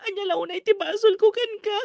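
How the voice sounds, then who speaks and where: A frightened young wife with a Filipino accent, in a very high, tearful voice. She whimpers and pleads in radio melodrama style, with a close, dry sound. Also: fairly even intonation, quick pace, vocal fry, darkish tone, dry recording. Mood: tearful, pleading. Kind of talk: melodramatic radio drama acting.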